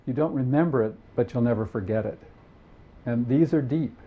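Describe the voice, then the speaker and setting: A man explaining his views gravely and emphatically, as an expert in an interview. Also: medium pitch, moderate pace, narrow, tinny audio.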